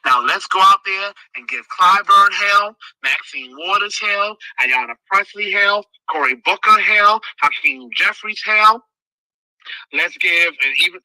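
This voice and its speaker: Male candidate, emotional, forceful speaker, high voice, close and dry. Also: no room sound, rough recording. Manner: strong, forceful voice; emotional, fiery delivery. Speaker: political candidate. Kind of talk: impassioned thank-you speech.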